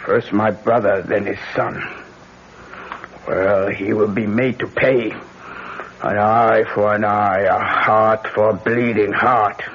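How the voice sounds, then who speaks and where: An actor playing a fierce, vengeful older voodoo practitioner in an old-time radio drama, speaking in a deliberate, incantatory way on a noisy recording. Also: mid-range voice, steady tone, husky, highs cut off. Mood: bitter, vengeful.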